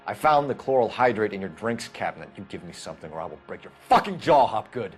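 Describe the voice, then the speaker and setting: An actor playing a hard, blunt police detective with a husky voice and an accusing manner in a video game. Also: male voice, lowish voice, big pitch swings, quick pace, soft background music. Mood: accusing, threatening. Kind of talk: video game cutscene acting.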